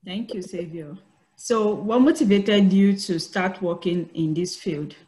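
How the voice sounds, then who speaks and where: A woman's voice: on the low side, unhurried, mellow. A woman interviewer thanking her guest and asking short, polite questions.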